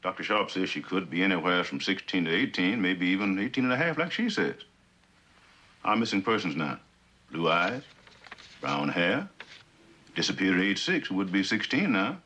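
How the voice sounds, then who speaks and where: Earnest police officer, husky, bright forceful edge, animated pitch, clipped methodical delivery, old TV drama, muffled soundtrack. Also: male voice, normal speed, heavily acted. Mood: businesslike. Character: earnest police subordinate reporting case findings. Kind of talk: 1960s television drama acting.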